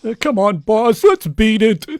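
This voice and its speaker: A very high, swooping male voice for a jittery henchman in an old-time radio serial. He is slow-witted and stammers, hesitating before he gets his words out. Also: expressive, darkish tone. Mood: nervous. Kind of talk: 1940s radio serial acting.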